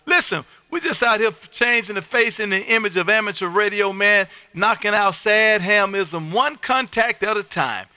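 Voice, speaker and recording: A man's voice: high voice, animated, moderate pace, few pauses, bright, forceful tone, clean tone, no room sound. A man from Texas hosting an amateur radio livestream, chatting and calling out in a folksy, effusive way and repeating his phrases. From United States, Texas. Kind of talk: casual ham radio chat and call-outs.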